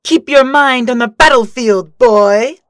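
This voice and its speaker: A woman playing a smug, condescending female villain in an animated action story, with theatrical, drawn-out delivery. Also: a little high, dry recording, studio quality, close to an everyday voice. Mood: scolding. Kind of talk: amateur animated action voice acting.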